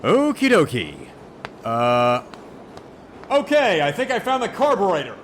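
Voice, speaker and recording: A man's voice: high voice, wildly swooping pitch, unhurried, heavy background noise, heavily acted. An actor playing a goofy, chatty man who is himself an actor in the story. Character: goofy, talkative male actor character. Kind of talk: comedy audio drama acting.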